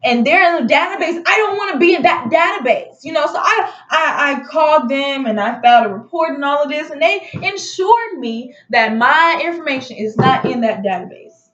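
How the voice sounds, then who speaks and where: High-voiced woman storyteller, echoey room. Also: moderate pace, hardly any pauses. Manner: animated, indignant retelling with acted-out dialogue. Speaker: storytelling vlogger. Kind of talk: casual personal storytelling. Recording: muffled audio in echoey room.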